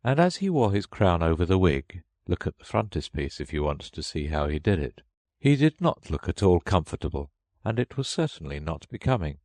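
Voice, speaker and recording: A man's voice: low-pitched, very animated, normal speed, a little mellow, no echo. A man reading a children's story aloud as scripted audiobook narration.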